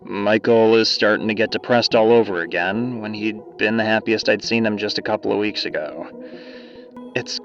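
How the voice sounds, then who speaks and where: A man's voice: on the low side, normal speed, a bit bright, faint music behind. A man playing a worried, guarded character on a phone call in an audio drama, hesitant and evasive. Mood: uneasy worry. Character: worried, guarded man troubled about a loved one. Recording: muffled band-limited telephone call.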